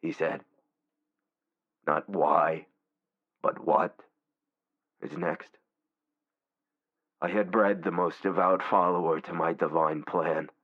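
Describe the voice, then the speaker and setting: Man voicing a slow, deep, malevolent supercomputer. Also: fairly even intonation, husky, muffled recording, full character voice. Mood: disdainful menace. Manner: brooding, deliberate, grandiose. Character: malevolent godlike war supercomputer, contemptuous, hateful of humanity. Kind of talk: dramatic scripted villain monologue.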